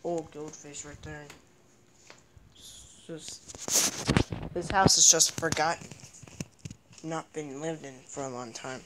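A woman's voice: deep voice, steady tone, slow, pauses often, heavy background noise. A woman filming a ghost-hunting vlog on a handheld phone inside a dim house, exclaiming and repeating herself as she looks around. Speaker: vlogger exploring a house. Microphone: handheld phone camera.

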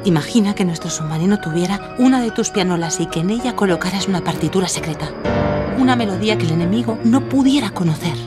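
An actress playing a witty, glamorous film-star inventor in a radio drama, with playful, theatrical delivery. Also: female voice, mid-range voice, lively intonation, quick pace, over loud music, lightly acted. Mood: excited, urgent. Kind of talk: radio drama acting and first-person narration.

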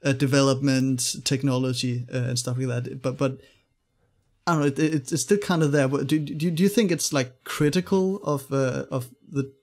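A man hosting a casual film discussion, speaking haltingly and repeating words as he searches for the next one. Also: medium pitch, fairly even intonation, a little mellow.

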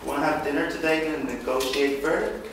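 A man's voice: a little high, fairly quick, lightly acted. A man acting a flirtatious haggler in a play reading, casual and teasing. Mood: flirty. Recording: echoey hall, distant mic, noisy.

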